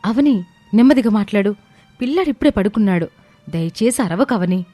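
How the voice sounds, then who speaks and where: A woman voicing a gentle, wronged motherly woman in a family story, pleading softly and protectively. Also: medium pitch, expressive, brisk, faint music behind, close to an everyday voice. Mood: hushed pleading. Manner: soft, imploring, soothing. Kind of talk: dramatized Telugu family story acting.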